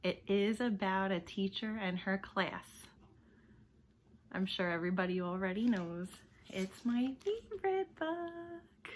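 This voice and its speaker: A woman reads a children's storybook aloud with an animated, emphatic delivery and a slightly husky voice. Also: medium pitch, a little slow, slight background noise. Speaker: storybook reader.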